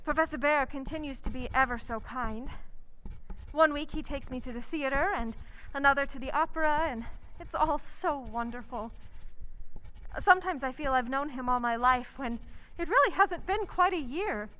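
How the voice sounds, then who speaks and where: An excitable young woman writer played by an actress in an old-time radio play. Her voice is high and bright, brimming with earnest, warm-hearted talk. There is noticeable noise on the recording. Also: normal speed, poor audio. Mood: excited, affectionate.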